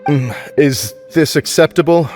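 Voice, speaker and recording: A man's voice: on the high side, a little slow, a little mellow, soft background music, natural voice. A man voicing a formal, stern but fair administrator in a game, polite and businesslike. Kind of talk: game voice acting.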